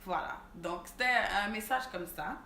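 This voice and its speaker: A woman's voice: fairly low pitch, moderate pace, bright, forceful tone, slight background noise. A young woman with a Quebec French accent co-hosting a casual chat, switching freely between French and English slang. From Montreal. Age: young adult. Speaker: co-host. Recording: echoey room with faint ambience.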